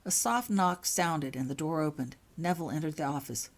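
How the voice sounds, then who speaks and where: A woman's voice: sits low, moderate pace. A woman narrating a scripted story aloud in an audiobook-like reading. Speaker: author reading own fan fiction aloud.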